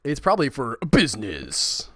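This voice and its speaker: A man chatting casually while he streams a building game, rambling and self-deprecating, with a high, squeaky voice. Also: huge pitch swings, normal speed, sharp tone, no room sound. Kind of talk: casual livestream chat.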